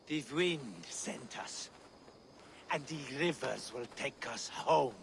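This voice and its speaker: An actor playing a wounded, captured raider in a video game, weary, mocking and defiant, with sighing, halting delivery. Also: male voice, mid-range voice, animated, vocal fry, slight background noise. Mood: defiant contempt. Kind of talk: dramatic video game acting.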